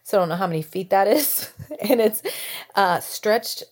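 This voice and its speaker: A woman's voice: mid-range voice, animated, normal speed. A woman with an American accent chatting casually as a podcast co-host. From United States. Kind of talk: casual chat.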